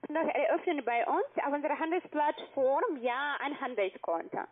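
A woman's voice: high-pitched, animated, normal speed. A woman speaking non-native German with a foreign accent, a polite but defensive call center agent on the phone. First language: not German. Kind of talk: phone sales conversation. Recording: tinny, narrow-band phone line.

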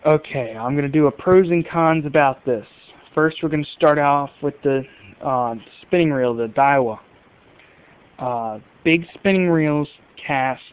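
Male hobby vlogger, casual unscripted comparisons, smooth clear voice, tinny narrow-band camera audio. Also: medium pitch. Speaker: fishing video host. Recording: muffled phone-like amateur camera audio.

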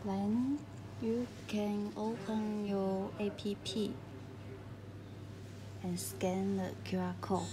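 A woman narrates a how-to tutorial at a very slow pace, one short step at a time. Her pitch is average for a woman and her phrasing is simple and halting. The recording has clear background noise. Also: flat delivery. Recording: clearly noisy recording with room ambience.

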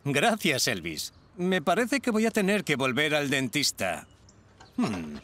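A man voicing a calm, good-natured adult firefighter in a dubbed cartoon, speaking patiently. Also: fairly high pitch, unhurried, a little hiss or hum, full character voice. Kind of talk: cartoon dubbing.

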